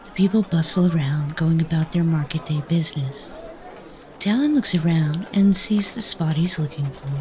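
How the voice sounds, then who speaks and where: A woman's voice: deep voice, moderate pace, darkish tone, a little hiss or hum. A woman narrating a scripted fantasy story. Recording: faint music behind the voice.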